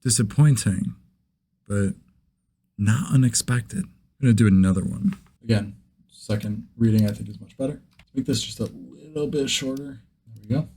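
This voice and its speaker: A man's voice: fairly low pitch, steady tone, a little fast, dark tone, breathy edge. A man hosting a vlog in a casual, conversational style. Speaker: video host, beginner voice actor.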